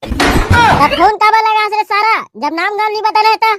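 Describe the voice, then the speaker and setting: Very high-pitched, blustering cartoon villager. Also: male voice, measured pace, very noisy. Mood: angry. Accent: Chhattisgarhi. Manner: blustering, insult-slinging banter. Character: loud, hot-headed young village man in a comedy cartoon. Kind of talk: comic cartoon dialogue. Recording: music bed under the dialogue.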